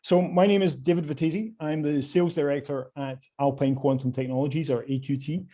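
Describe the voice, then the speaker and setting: A man's voice: a little high, fairly even intonation, brisk, dark tone. A man with a Scottish accent presenting his company at an online conference, polite and often hesitating with fillers. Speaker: company sales director presenting. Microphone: video call.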